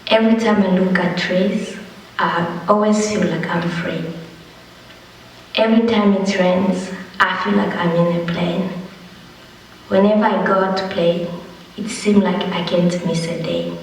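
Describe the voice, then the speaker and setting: A young refugee reading a prepared account and a poem in a slow, steady way. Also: medium pitch, a little hiss or hum. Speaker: young refugee telling own story. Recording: distant voice in a reverberant hall.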